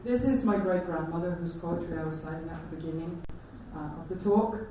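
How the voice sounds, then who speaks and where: A woman from Iceland with an Icelandic accent giving a conference talk, halting often with fillers and restarts. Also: on the low side, warm tone, a little hiss or hum. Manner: hesitant, many fillers and restarts. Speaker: conference speaker. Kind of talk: presentation talk. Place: large conference hall. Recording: echoey hall, voice from far off.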